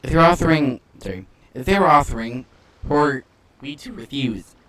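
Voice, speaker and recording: A man's voice: medium pitch, lively intonation, normal speed, a bit bright. A man giving casual gameplay commentary and reading dialogue choices aloud.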